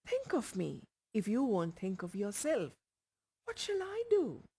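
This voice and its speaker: A woman's voice: mid-range voice, huge pitch swings, a little slow, dark tone, slightly rough, full character voice. A woman voicing an anxious, devoted young woman in a storybook, pleading and tender. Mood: worried.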